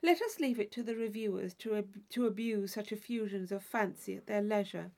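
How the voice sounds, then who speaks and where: A woman reading a classic novel aloud as scripted narration, in a measured, expressive storytelling manner. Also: mid-range voice. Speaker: audiobook reader.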